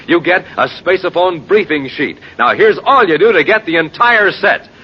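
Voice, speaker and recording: A man announcing and pitching to children in an emphatic, enthusiastic, folksy 1950s radio style. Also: animated, moderate pace, slightly rough. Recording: dull noisy 1950s radio recording.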